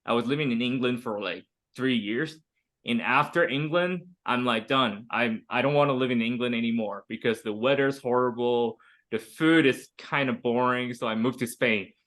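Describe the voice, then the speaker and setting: A man's voice: mid-range voice, normal speed, a bit bright. A man from Hong Kong in his thirties, teaching an online English group lesson over a video call, chatty and full of fillers and restarts.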